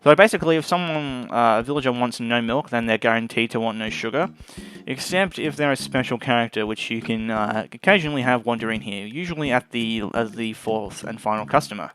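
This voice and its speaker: A man's voice: medium pitch, brisk, few pauses, fairly bright tone. A man giving casual let's play commentary on a video game as its host. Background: game music.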